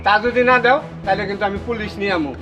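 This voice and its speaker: A stern creditor, played by a man, laying down demands in blunt, threatening words. His voice sits very high. Light music plays underneath. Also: racing, lo-fi sound, natural voice. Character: stern village moneylender owed for an auto. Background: background music.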